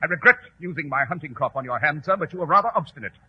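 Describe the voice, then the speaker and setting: An actor playing a commanding, sardonic gentleman detective, crisp and imperious, in 1940s radio acting style. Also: fairly high pitch, lively intonation, rapid-fire, highs cut off, close to an everyday voice. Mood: triumphant. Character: commanding, coolly triumphant male gentleman detective. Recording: old radio recording with music underneath.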